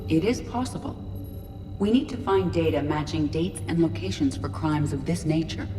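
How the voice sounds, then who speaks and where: Low-voiced, composed female AI played by a woman. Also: natural voice. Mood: measured, cautious. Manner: precise, formal, measured, unemotional. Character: composed, analytical female artificial intelligence aide. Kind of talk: scripted video game acting. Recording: long reverb, loud music behind.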